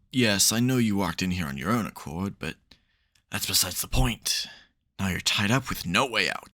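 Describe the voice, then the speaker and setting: A man's voice: low-pitched, vocal fry, pushed and bright, full character voice. A man playing a smug, theatrical supervillain who secretly cares, in a dramatic, wry, chatty way for an ASMR roleplay.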